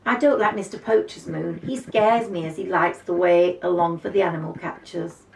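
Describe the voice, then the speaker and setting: A woman voicing a worried, hungry little boy mouse in a high, plaintive, childlike way while reading a storybook aloud. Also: steady tone, normal speed, vocal fry. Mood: wistful. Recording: echoey room, distant mic, faint noise.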